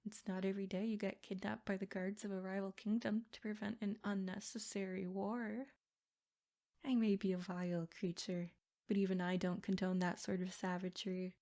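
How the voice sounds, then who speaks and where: A woman's voice: mid-range voice, flat delivery, moderate pace, dark tone, natural voice. A woman voicing a sadistic, possessive princess in an ASMR roleplay, speaking in formal, haughty phrases with silky menace. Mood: coolly menacing. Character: sadistic, possessive yandere princess, smug captor. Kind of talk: ASMR roleplay, scripted character monologue.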